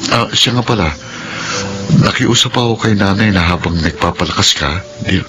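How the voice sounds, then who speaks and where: An actor playing a devoted young husband and new father, speaking gently in a Filipino radio drama. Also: lowish voice, moderate pace, close to an everyday voice, rough recording. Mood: tender, happy.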